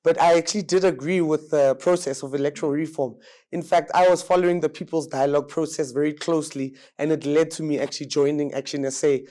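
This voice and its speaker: Young South African man, mellow voice. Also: on the high side, fairly even intonation, fast. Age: 30s. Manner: fluent, confident, conversational. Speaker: mayoral candidate.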